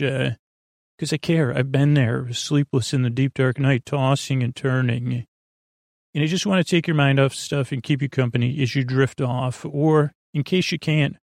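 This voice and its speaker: A man hosting a podcast with a meandering bedtime monologue, halting and digressive, trailing off and restarting his sentences in a creaky voice. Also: mid-range voice, a little mellow, dry recording, studio quality. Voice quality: creaky, gravelly. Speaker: podcast host.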